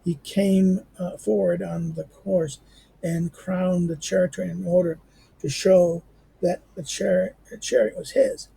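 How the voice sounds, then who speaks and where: A hoarse man reads a history book aloud as a teacher would, stopping often to hesitate and start words over. His voice sits fairly high but sounds dark and gravelly, with a rough, raspy edge. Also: little pitch movement, unhurried, a little hiss or hum, rough recording. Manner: hesitant, many fillers and restarts. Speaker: teacher reading a classic text aloud. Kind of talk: halting read-aloud with commentary.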